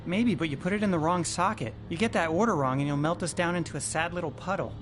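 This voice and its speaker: A man's voice: on the high side, fast, lightly acted. Man acting an anxious, earnest spaceship survivor over music. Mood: exasperated. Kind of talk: video game voice acting. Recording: game music behind the voice. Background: game background music.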